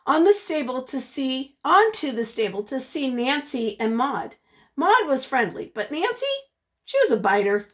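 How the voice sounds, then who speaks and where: A woman reading a picture book aloud like a teacher, expressive and encouraging, pausing to put questions to young listeners. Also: sits high.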